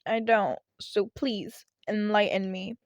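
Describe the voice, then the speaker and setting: A woman's voice: medium pitch, steady tone, takes it slowly, no room sound, muffled recording, close to an everyday voice. A woman voicing a tired, irritated male teacher who is dismissive and sardonic in a story reading. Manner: sardonic, muttering, weary.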